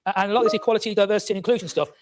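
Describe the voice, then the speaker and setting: An earnest man councillor speaking up in a council meeting, halting often on fillers. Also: high voice, background noise. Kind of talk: formal speech in a council meeting.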